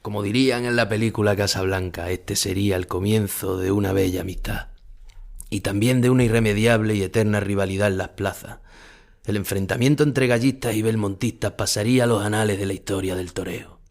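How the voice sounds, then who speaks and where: Low-voiced melancholy ageing bullfighter. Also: male voice, steady tone, fairly quick, vocal fry, a little mellow. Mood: reflective. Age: older. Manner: wistful, literary, brooding. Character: ageing melancholy retired Spanish bullfighter musing on his past. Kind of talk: audio fiction dramatic monologue.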